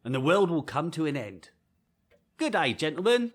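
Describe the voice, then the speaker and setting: A chatty village postman, full of himself and jovial. His pitch leaps about as he boasts and gossips, in the manner of an old radio play. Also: sing-song, normal speed, background noise. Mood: teasing. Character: chatty, self-important male village postman and bell ringer. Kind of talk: old-time radio drama acting.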